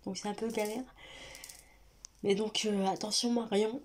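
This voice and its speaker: A woman's voice: medium pitch, fairly even intonation, a little slow. A young woman vlogging with a stuffy nose from a cold, talking hesitantly and directly to her viewers with many fillers and restarts. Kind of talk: personal confessional vlog talk.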